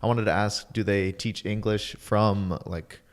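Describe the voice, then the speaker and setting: A man's voice: lowish voice, steady tone, unhurried. A man hosting a podcast, asking questions in a casual, conversational way. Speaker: podcast host.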